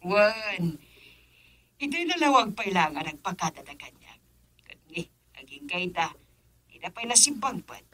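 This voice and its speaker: Smug Filipino doña, bright and forceful, lively pitch swings, radio drama matron. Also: female voice, a little slow, pauses often, exaggerated voice. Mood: smug, teasing.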